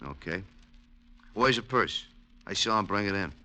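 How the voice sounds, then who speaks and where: A man's voice: on the low side, lively intonation, moderate pace, pushed and bright. An actor playing a menacing male thug in a 1950s radio drama, who talks in threatening slang.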